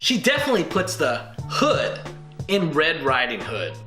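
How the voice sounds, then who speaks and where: High-pitched, animated male review host. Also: moderate pace, roomy sound, music in the background. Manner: playful, punning, showman-like. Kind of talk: scripted comedic review narration.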